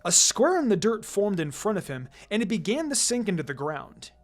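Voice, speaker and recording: A narrator reading fan fiction aloud in an expressive, scripted storytelling style. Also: mid-range voice, moderate pace. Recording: background music under the voice. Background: music.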